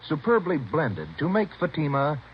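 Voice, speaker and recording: American guy doing old 50s radio ad, punchy sales read, animated, bit of hiss. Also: on the high side, moderate pace. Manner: polished, emphatic salesmanship. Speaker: commercial announcer. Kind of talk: 1950s radio advertising read. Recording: dull, faintly noisy old radio recording.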